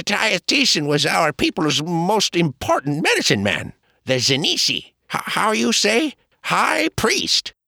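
A man voicing an earnest Caddo man who stammers and speaks halting English. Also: high voice, gravelly, pushed and bright, no echo, clean studio sound, voice pushed up, exaggerated voice.

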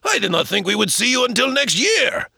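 A genial, shrewd shopkeeper , voiced by a male narrator, booms in a raised voice. He sounds hearty and welcoming. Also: very animated, a little fast, gravelly, pushed and bright, slightly rough, no room sound, studio quality, full character voice.

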